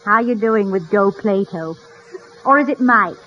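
An actress playing a playful, teasing, affectionate wife in a vintage radio comedy. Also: female voice, medium pitch, measured pace, close to an everyday voice. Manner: pert, teasing, lively. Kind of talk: 1940s-50s radio comedy acting. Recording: muffled, hissy vintage radio recording.

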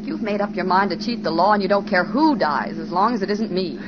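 An actress playing an anxious, guilt-ridden young woman in an old radio drama, earnest and stubborn, with a husky voice. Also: medium pitch, animated, brisk, noisy background, lightly acted. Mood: distressed. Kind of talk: 1940s-50s radio drama acting. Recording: dull old radio recording.

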